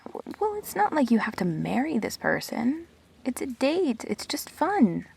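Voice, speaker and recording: A woman playing a perceptive, teasing, supportive friend in an intimate sci-fi audio drama, expressive and blunt. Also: high voice, unhurried, gravelly, a little hiss or hum, heavily acted. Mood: reassuring.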